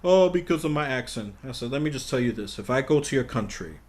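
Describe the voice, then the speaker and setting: A man's voice: mid-range voice, expressive, fast talker, darkish tone. A man talking casually as a podcast guest, blunt and outspoken, rambling with frequent restarts. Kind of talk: casual conversation.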